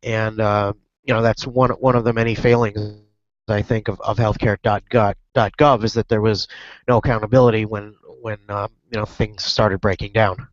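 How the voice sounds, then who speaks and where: A man's voice: lowish voice, little pitch movement, moderate pace, no room sound. A man reporting in a casual web broadcast, his voice stuffy from a cold. He rambles with fillers and restarts. The audio is muffled and lo-fi, with a dry sound. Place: airport lounge.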